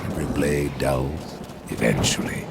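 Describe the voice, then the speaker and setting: An actor playing a grim, ancient and menacing horseman in a video game, terse and solemn, with a very deep gravelly voice. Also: lively intonation, normal speed, very noisy, exaggerated voice. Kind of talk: video game cutscene acting. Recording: loud music under the voice.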